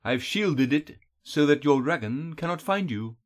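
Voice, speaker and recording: A sly elf villain from a fantasy storybook, acted out by a male narrator. His voice is honeyed and throaty, and it swings widely in pitch as he draws out his words in a mocking purr. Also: expressive. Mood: smug, teasing. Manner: mocking, drawn-out, demure purr. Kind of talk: audiobook character voice.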